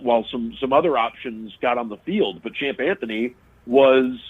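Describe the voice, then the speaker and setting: A man's voice: mid-range voice, slightly rough. A male sports broadcaster calls in as a guest, his voice thin and narrow the way a phone line makes it. He talks in a middle register and picks teams apart analytically. He often stops and restarts his sentences. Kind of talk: casual sports talk interview.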